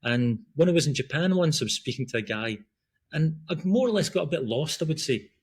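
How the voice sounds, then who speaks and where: A man's voice: on the high side, animated, fairly quick, slightly rough. A middle-aged man from Glasgow with a Scottish accent, chatting as a podcast guest in a rambling, easygoing way. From Scotland. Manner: rambling, chatty, frequent restarts and ums. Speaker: guest, breath work and running coach. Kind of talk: casual podcast conversation.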